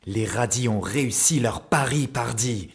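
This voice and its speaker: A man's voice: medium pitch, a little slow, gravelly, breathy edge. A man narrating a children's cartoon story as a playful storyteller in scripted style. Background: music, plucked guitar.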